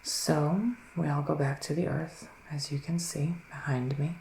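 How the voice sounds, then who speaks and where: A man playing a solemn psychic male witch in a soft-spoken, half-whispered ASMR roleplay, deliberate and full of breathy pauses. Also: fairly high pitch, fairly even intonation, echoey room, a little hiss or hum, poor audio. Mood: grave, soothing. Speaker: ASMR performer.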